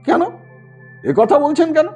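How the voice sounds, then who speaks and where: A man's voice: extremely high pitch, lively intonation, unhurried, faint music behind, pitched above the performer's own voice. A man voicing a stubborn, indignant young railway ticket collector in an audio story, with urgent delivery. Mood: puzzled, indignant. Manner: loud, urgent calling out.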